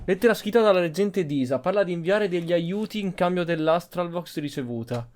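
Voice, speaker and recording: Italian man, gaming host, casual roleplay commentary, fairly high voice, light music behind. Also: expressive, quick pace, darkish tone.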